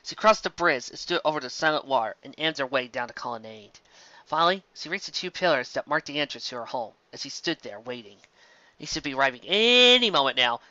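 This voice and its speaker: A man reading fan fiction aloud as an amateur narrator, expressive and occasionally stumbling over words. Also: mid-range voice, a little fast, no room sound, muffled recording.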